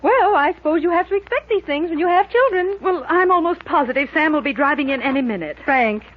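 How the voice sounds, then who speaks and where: A middle-aged mother with a high voice. She is patient if a little fussy, in 1940s radio comedy style, and the old recording sounds dull. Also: animated, fairly quick. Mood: patient, mildly fussy. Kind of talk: 1940s radio sitcom acting.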